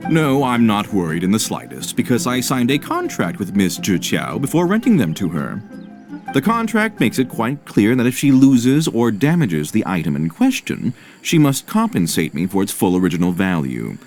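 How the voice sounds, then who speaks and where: A man voicing a polite, fussy merchant in an anime game, with formal, deliberate phrasing. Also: fairly low pitch, huge pitch swings, a little mellow, soft background music. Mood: assured. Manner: formal, courteous, deliberate, theatrically inflected. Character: polite, fussy, self-assured male merchant.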